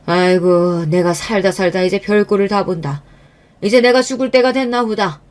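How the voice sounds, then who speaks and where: A woman's voice: mid-range voice, moderate pace, a little mellow, close to an everyday voice. A woman voicing an old father-in-law who wails and rants in outrage. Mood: outraged. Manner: wailing, dramatic complaining. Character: old grumbling father-in-law, quick to rage.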